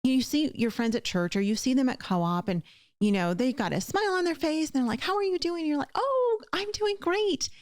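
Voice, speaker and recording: A woman's voice: high voice, lively intonation, brisk, a little mellow, dry recording. A woman hosting a conversational show, speaking in an earnest, emphatic way as she encourages her listeners directly. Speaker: podcast host.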